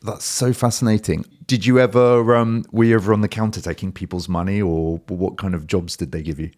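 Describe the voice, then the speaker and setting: Host, man, very low voice, eager interviewer with quick follow-up questions. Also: fairly even intonation, a little mellow. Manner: curious and enthusiastic, with frequent short affirmations. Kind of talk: conversational interview.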